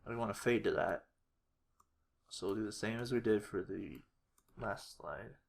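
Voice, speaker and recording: Someone giving an informal editing walkthrough, talking haltingly and muttering to themselves while working. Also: medium pitch, vocal fry. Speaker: tutorial creator. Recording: noisy home recording, keyboard and clicks. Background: mouse clicks and keyboard typing.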